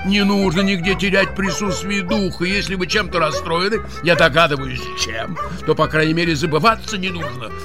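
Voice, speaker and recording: Man playing a high-pitched, fussy older gentleman. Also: normal speed, few pauses, natural voice. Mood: offended, pleading. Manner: stammering, fussily polite, repeats words. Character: flustered, touchy, pompous older gentleman. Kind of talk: comic literary audio drama. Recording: music under the voice.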